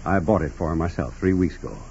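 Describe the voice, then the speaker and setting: An actor playing a grave, hesitant judge with a husky voice in a 1940s radio crime drama. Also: male voice, sits low, animated, fast, a little mellow, slight background noise. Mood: shaken. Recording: muffled 1940s radio recording.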